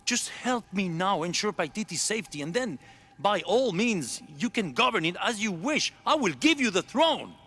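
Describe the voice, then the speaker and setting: An intense, ambitious ruler. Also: male voice, high-pitched, big pitch swings, moderate pace, sharp tone, breathy edge, heavily acted. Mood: cold. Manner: intense, pleading then commanding. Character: ambitious male ruler of a hidden city. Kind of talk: video game cutscene acting.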